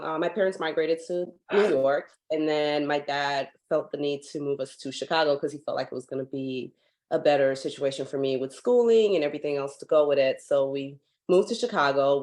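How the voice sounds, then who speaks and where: Low voice, young woman from guyana chatting over a video call, lots of "like", gets animated telling stories. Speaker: guest, foundation founder. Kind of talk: casual interview conversation. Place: home in Hawaii.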